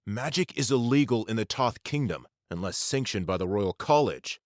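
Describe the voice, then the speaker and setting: A man's voice: fairly low pitch, lively intonation, normal speed, dry recording, studio quality, voice pushed up, close to an everyday voice. A man playing a large, mature, stern city watch commander in an audiobook, formal, guarded and terse. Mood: defiant, stern. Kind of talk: audiobook character acting.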